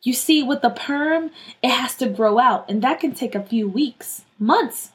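A woman's voice: fairly high pitch, expressive, unhurried. A woman voicing a bossy, alarmed older sister who scolds and takes charge in a children's story. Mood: explaining, firm. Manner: scolding, urgent, exclaiming.

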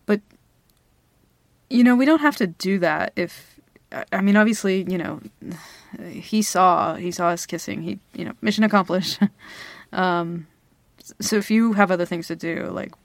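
A woman's voice: medium pitch, moderate pace, vocal fry, dry recording, natural voice. A young woman playing a flustered girl smitten with her best friend in an intimate ASMR roleplay, hesitant, stammering and giggly. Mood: shy, flustered. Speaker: audio roleplay performer. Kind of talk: ASMR girlfriend roleplay, intimate one-sided dialogue.

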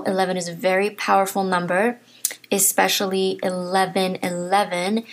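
A woman's voice: medium pitch, creaky. A young woman hosting a solo podcast, talking earnestly and encouragingly to her listeners while losing her voice. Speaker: podcast host. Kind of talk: motivational solo podcast talk.

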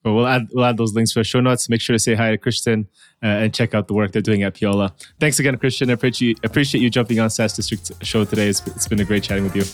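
A man's voice: on the low side, fairly even intonation, quick pace, dry recording, clean studio sound, soft background music. A man hosting a podcast, chatting casually in an upbeat, informal way with his guest. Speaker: podcast host. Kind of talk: casual interview chat.

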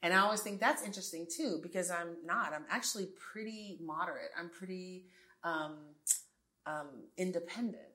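A woman's voice: fairly low pitch, normal speed, pushed and bright. A woman in her forties, a tech entrepreneur interviewed as a guest, talking earnestly and emphatically with frequent restarts and asides. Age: 40s. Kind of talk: conversational interview.

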